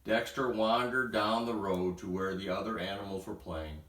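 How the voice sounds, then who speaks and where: A man reading a children's bedtime story aloud in an expressive storytelling style. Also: lowish voice, normal speed. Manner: expressive, acts out sound words. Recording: roomy echo, distant from mic.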